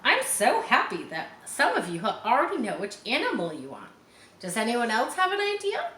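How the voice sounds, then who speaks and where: A woman's voice: a little high, wildly swooping pitch, moderate pace, sharp tone, reverberant, distant mic, full character voice. A woman voicing a cheerful, encouraging schoolteacher in a read-aloud storybook.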